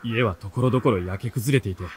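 An actor playing an earnest seventeen-year-old bookish runaway who narrates and speaks in a radio drama. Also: male voice, lowish voice, fast, slight background noise, close to an everyday voice. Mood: curious narrating. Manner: expressive, earnest storytelling.

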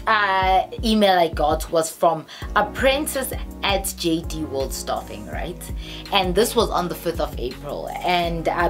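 A woman in her twenties with a South African accent tells a story casually to camera, chatty and halting, sometimes reading aloud. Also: fairly low pitch. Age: 20s. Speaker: storytime vlogger. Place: small bedroom, indoors. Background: background music.

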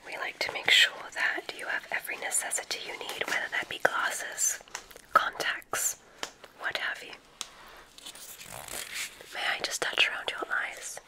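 A woman whispering softly and breathily in an ASMR roleplay as a gentle, soothing witch doctor, close to the microphone. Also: low-quality recording. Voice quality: breathy, mostly unvoiced whisper. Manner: soothing, gentle, unhurried reassurance. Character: kindly witch doctor giving a magic school exam.

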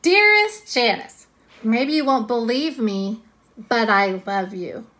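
A woman voicing a scheming, confident young schoolgirl from a storybook, with a dramatic, drawn-out delivery. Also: fairly high pitch, lively intonation, fairly bright tone. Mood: moony, theatrical. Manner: dramatic, drawn-out, mock-romantic when dictating.

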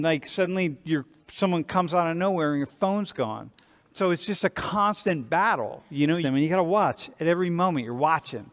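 Older male professor, lively lecturer, slightly high voice, reverberant lecture hall. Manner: animated, restless, gestures while pacing. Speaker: sociology professor. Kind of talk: classroom discussion lead.

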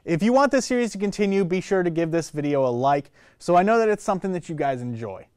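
A young adult man hosting a casual software tutorial, thinking aloud in an informal, playful, rambling way. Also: sits high, animated, fast. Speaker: tutorial host. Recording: clear background noise.

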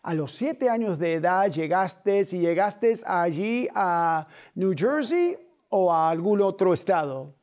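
Middle-aged male TV host, slow and earnest, mellow voice, studio setting. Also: high voice, expressive. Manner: earnest, measured, with gentle hand gestures.

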